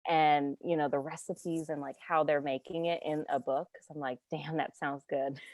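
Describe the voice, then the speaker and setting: A woman's voice: deep voice, fairly even intonation, normal speed, darkish tone, dry recording. A woman co-hosting a writing podcast in casual chat, talking loosely with many "like"s and laughing along. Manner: chatty, lots of "like" fillers, laughs along. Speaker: podcast co-host.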